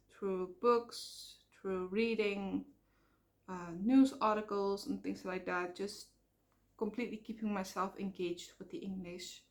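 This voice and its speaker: A woman from the Netherlands with a Dutch accent, talking in an earnest, rambling way with fillers and self-corrections in a personal vlog. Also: mid-range voice. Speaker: vlogger. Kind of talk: casual personal vlog talk.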